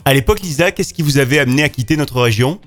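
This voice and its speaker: A man's voice: medium pitch, fast talker, faint music behind. A man hosting an interview in a friendly, radio-style way, putting questions to a guest. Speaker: interview host.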